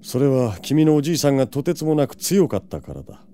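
A man acting a proud, gruff yet protective vampire lord in an audio drama, brusque and self-assured. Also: medium pitch, sing-song, fast, mellow, slight background noise, natural voice. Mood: cool, defiant.

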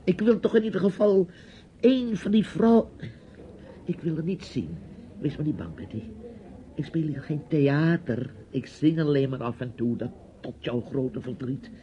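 A man's voice: on the high side, very animated, a little slow, dark tone. Theatrical bossy older man, swooping voice. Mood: bossy, needling. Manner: theatrical, rambling, commanding, with hesitations. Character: chatty, bossy, nosy older relative with a niece. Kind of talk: radio drama acting. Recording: dull, hissy old radio play recording.

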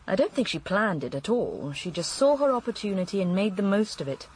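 An actress playing a candid, world-weary English widow in a radio drama, confiding and matter-of-fact. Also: female voice, medium pitch, very animated, a little fast, darkish tone, breathy edge. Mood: wry.

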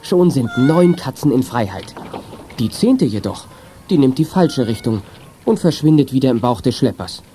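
A man's voice: mid-range voice, lively intonation, moderate pace, warm tone, soft background music, natural voice. A man narrating a children's detective radio play in scripted storytelling prose. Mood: calm storytelling.

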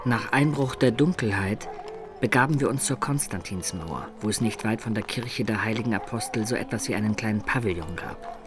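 A man narrating a dark adventure tale in the first person for a German radio play, measured, composed and literary. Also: on the low side, over music, natural voice. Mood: composed, mysterious.

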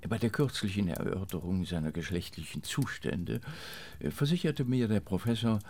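Stuffy formal doctor in a radio play, gravelly fry, a bit deep, very polite and clinical. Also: male voice, animated, moderate pace, darkish tone. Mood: pedantic, confiding. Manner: pedantic, formal, deferential. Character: formal male doctor, pedantic, deferential, clinically matter-of-fact. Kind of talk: German radio play dialogue.

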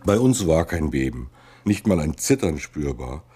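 A man's voice: rumbling low, very animated, normal speed, mellow, soft background music. A man voicing a sober, critical observer of a disaster in a scripted radio monologue.

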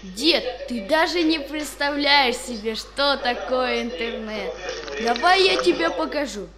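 A woman's voice: sits high, lively intonation, a little slow, crowd noise. A woman playing a young, cheeky, eager grandchild in an audio drama, speaking casually.

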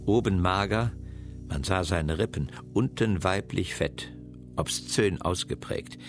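A man reading a literary prose passage aloud in a grave, deliberate way. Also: low-pitched. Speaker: reader of a literary excerpt. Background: guitar music.

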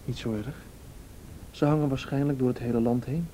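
A man acts a brooding, quietly determined saboteur with a Netherlands Dutch accent. His voice is dark and relaxed at a middling pitch, with a reflective, dry irony. The radio drama recording has faint noise and rumble. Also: fairly quick, close to an everyday voice, rough recording. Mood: wry. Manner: reflective, dryly ironic. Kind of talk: intimate radio drama acting.

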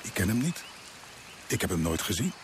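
An actor playing a loyal, deferential colonial controller in a radio drama, with a polite, cautious manner. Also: male voice, lowish voice, very animated, normal speed. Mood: defensive. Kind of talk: Dutch radio drama acting. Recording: noisy old radio play recording.

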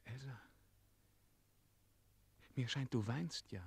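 An actor playing an ardent, tender knightly hero in a radio play, earnest and romantic. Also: male voice, medium pitch, slow pace, vocal fry, slightly rough, no echo, full character voice. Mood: tender, yearning. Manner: earnest, romantic, swinging from hushed to declamatory. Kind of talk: German radio play acting.

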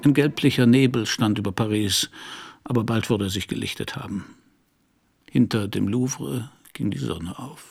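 A man's voice: fairly low pitch, normal speed, a little mellow. An actor playing a world-weary private detective in a radio crime drama, narrating his case in a laconic, dry way. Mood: wry, reflective. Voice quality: husky, gravelly.

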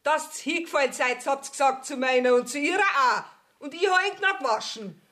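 An actress playing a cheeky, stubborn maidservant with a Bavarian accent who talks back insistently in a dialect radio comedy. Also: female voice, high-pitched, brisk, pushed and bright. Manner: pert, insistent. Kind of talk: dialect radio play acting.

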